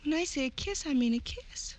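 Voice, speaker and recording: A woman's voice: high voice, lively intonation, a little fast. An American actress playing a detective's amorous, teasing and playfully demanding girlfriend in an old radio drama. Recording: old radio broadcast, faint noise.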